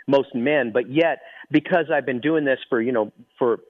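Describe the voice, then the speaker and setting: A middle-aged man with a naturally high speaking voice, a ventriloquist telling stories with eager restarts in a phone interview. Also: no room sound. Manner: eager, quick storytelling with many restarts. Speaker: ventriloquist guest. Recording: tinny phone line, dry.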